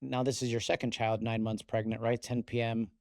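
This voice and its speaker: A male podcast co-host and parent, relaxed and conversational as he frames questions for a guest. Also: mid-range voice, brisk, gravelly, no room sound, clean studio sound. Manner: relaxed, speaks from experience as a parent. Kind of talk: conversational interview.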